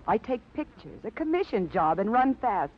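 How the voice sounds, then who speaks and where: Jumpy, defiant young woman, animated. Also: a little high, normal speed. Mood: anxious, evasive. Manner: agitated, repetitive, bitter. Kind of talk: 1950s radio crime drama acting. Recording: muffled, faintly noisy old radio recording.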